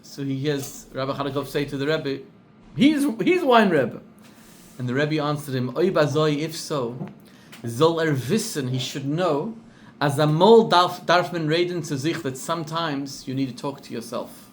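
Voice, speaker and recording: Animated South African man telling a story. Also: on the high side, moderate pace, slight background noise. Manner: animated storyteller, acts out quoted voices, repeats for emphasis. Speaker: rabbi. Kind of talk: sermon storytelling.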